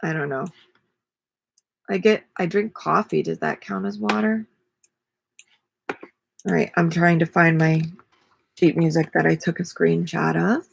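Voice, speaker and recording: A woman in her forties with a raspy voice, chatting casually and rambling on a live stream from a small camper. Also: on the low side, unhurried, long pauses. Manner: relaxed, rambling, self-deprecating. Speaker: vlogger and ukulele player. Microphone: webcam at desk.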